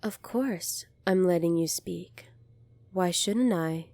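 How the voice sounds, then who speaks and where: A woman playing a poised, kindly young alien princess in an ASMR roleplay, polite, formal and measured, soft-spoken with breathy moments. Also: on the low side, no echo. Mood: gentle, reassuring.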